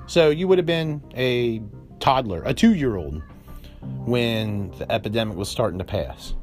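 A man's voice: mid-range voice, animated, a little slow, faint music behind. Worried American man hosting a podcast. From United States. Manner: worried, rambling, repeats "period" for emphasis. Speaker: podcast host. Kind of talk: anxious solo podcast monologue.

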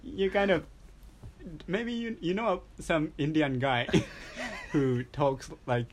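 A man's voice: a little high, sing-song, slow pace, a little hiss or hum. A man with a Japanese accent hosting a casual chat, often restarting his phrases. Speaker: host.

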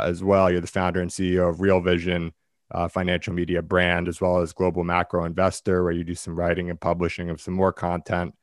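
A man hosting a podcast, reading a sponsor spot and introducing his guest in an earnest, measured way with some hesitations. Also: low-pitched, no echo, studio quality. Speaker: podcast host. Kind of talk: ad read and interview intro.

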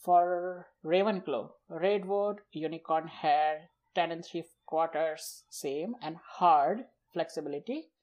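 A man's voice: on the high side, slow, few pauses, darkish tone, lo-fi sound. A man with an Indian accent, a Gujarati native speaker, chatting as a podcast guest and explaining things in short, loose phrases. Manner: lists and explains things in short chunks, somewhat unfinished sentences. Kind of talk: casual chat.

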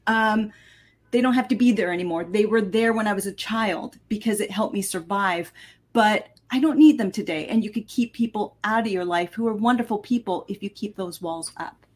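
Candid woman guest, mid-pitched voice. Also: normal speed. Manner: candid, thoughtful. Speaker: featured storyteller guest. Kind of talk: reflective interview answers.

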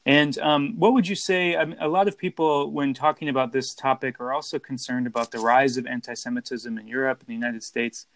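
A man's voice: mid-range voice, fairly even intonation, fairly quick, hardly any pauses, a bit bright. A man interviewing a guest, affirming each answer and thanking him before his next question. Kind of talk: conversational interview.

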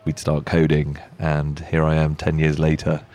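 A man's voice: extremely low pitch, moderate pace. A man in his thirties from the Czech Republic with a British accent, talking casually and self-deprecatingly in a live interview at a hotel. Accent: British English. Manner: relaxed, self-deprecating, many fillers. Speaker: software company founder. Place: hotel lounge.

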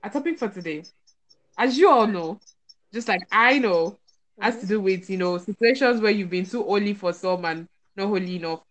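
A woman's voice: mid-range voice, moderate pace. Chatty woman hosting a podcast. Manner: chatty, playful, laughs often. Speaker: podcast host. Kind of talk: casual chat.

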